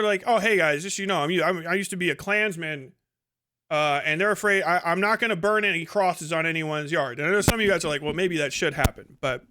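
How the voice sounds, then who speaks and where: A man with a notably high, bright voice. He comments casually and profanely, with a sardonic, mocking edge, slipping into quotes as he argues. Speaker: streamer host reacting to clips.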